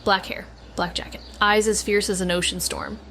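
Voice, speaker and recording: A woman's voice: medium pitch, steady tone, normal speed, a bit bright, slight background noise, close to an everyday voice. An actress playing a thoughtful, haunted young woman, narrating a noir audio drama in the first person in a hesitant, introspective way. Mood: introspective, uneasy. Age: young adult. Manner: hesitant, introspective, self-interrupting. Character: Mags, thoughtful haunted young woman, former teenage detective.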